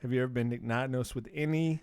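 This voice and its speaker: A man's voice: mid-range voice, fairly even intonation, a little fast, darkish tone, smooth, dry recording. A man hosting a casual comedic podcast, teasing and firing questions at his friends in loose banter. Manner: teasing, interrogating. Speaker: podcast host.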